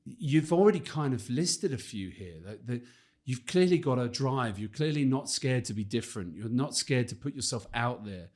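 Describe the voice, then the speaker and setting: A man's voice: medium pitch, lively intonation, normal speed, darkish tone. A man hosting a podcast, asking a long, rambling question and affirming his guest. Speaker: podcast host. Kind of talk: interview question.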